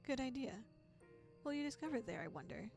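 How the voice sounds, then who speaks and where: A woman reading as the theatrical narrator of a horror visual novel, deliberate and foreboding. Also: high voice, animated, vocal fry, voice pushed up. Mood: teasing. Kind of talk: dramatic second-person storytelling. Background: music.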